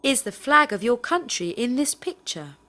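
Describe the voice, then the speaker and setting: Lively, higher-pitched woman asking drill questions. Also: moderate pace. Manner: careful, clearly phrased questioning. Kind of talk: scripted question drill, read aloud.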